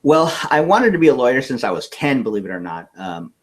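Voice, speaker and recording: A man's voice: mid-range voice, sing-song, fairly quick. A man from California, an interview guest telling a personal story in earnest, often restarting his words.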